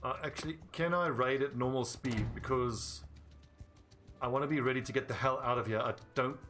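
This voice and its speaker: A man's voice: medium pitch, fairly quick, a bit bright, faint music behind. A young South African man with a South African accent, chatting casually while playing a game on a livestream and answering his chat. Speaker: streamer. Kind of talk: casual gameplay livestream commentary.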